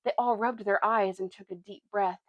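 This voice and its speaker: A woman reading a children's novel aloud as expressive storytelling narration, now and then correcting a slip. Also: medium pitch, normal speed, no echo, dull audio.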